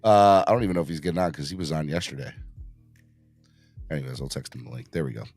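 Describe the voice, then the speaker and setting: A man hosting a casual live sports fan podcast, urging viewers to subscribe, with his voice just back after being hoarse. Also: no room sound, soft background music. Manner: animated, jumps into high put-on voices for quick bits. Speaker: podcast host.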